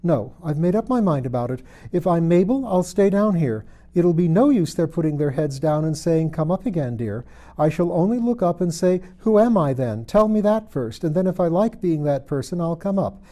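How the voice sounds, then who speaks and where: Man playing a high-pitched, fretful little girl. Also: animated, moderate pace, warm tone, lightly acted. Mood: tearful, stubborn. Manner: prim, exclamatory, talking to herself. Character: bewildered young girl, chatty, fretful and self-pitying. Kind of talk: storybook character voice.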